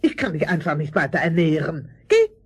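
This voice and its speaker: An actress playing a stingy, scolding aunt in a fairy-tale audio play, querulous and husky. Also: female voice, deep voice, sing-song, a little fast, full character voice. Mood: scolding, complaining. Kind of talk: German fairy-tale audio drama acting.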